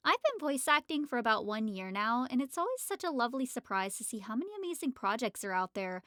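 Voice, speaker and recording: Excited, high-pitched woman with lively swoops. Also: quick pace, dry recording, clean studio sound. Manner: excited, gushing. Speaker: amateur voice actor. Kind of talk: casual self-introduction to audience.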